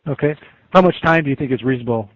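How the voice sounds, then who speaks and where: Fast-talking man on a phone line. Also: mid-range voice, dry recording. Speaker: meeting leader. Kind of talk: brisk informal conference call. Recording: tinny, narrow phone line.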